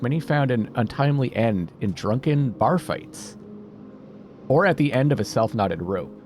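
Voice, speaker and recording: A man's voice: medium pitch, darkish tone. A man hosting a let's play, expressively thinking aloud and reading game text. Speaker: gaming video host. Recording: game music behind voice.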